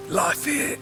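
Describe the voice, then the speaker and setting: A man playing a frail, weary but caring old man in a video game, halting and breathy, with a weak coughing delivery. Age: older.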